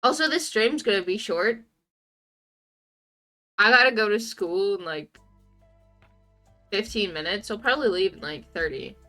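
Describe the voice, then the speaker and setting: A woman's voice: medium pitch, lively intonation, unhurried, long pauses, bright, forceful tone, faint music behind. A young woman with a congested nose chatting casually on a game stream, drifting into muttered asides to herself. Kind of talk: casual live stream chat while playing a game.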